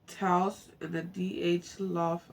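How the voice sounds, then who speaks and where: A woman's voice: lowish voice, little pitch movement, takes it slowly, gravelly. A woman reading a book aloud informally, stumbling over words and breaking off to muse to herself in chatty asides. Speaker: amateur book narrator. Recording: faint background noise.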